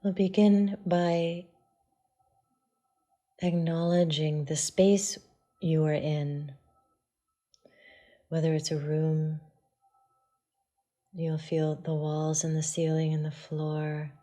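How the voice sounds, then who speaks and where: Woman, meditation guide, low-pitched, even and unvarying intonation, soft hushed delivery, frequent pauses. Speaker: yoga and meditation teacher.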